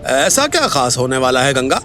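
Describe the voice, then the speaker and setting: A man's voice: mid-range voice, very animated, moderate pace, pushed and bright, faint music behind. A man playing a sarcastic, scornful businessman uncle in an audio drama, taunting and argumentative. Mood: mocking. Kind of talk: Hindi audio drama acting.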